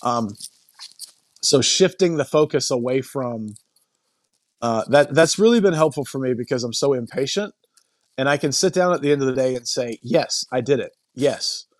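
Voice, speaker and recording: A middle-aged man talking casually and reflectively as a podcast guest, thinking aloud in a halting way and repeating phrases. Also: mid-range voice, lively intonation, no room sound. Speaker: podcast guest, coaching client. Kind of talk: casual reflective interview talk.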